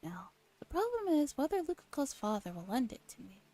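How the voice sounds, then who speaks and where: A young woman streamer with a soft, breathy voice, reading a visual novel aloud in ASMR style and chatting playfully in casual slang. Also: sits high, very animated, fairly quick. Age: young adult. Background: game music.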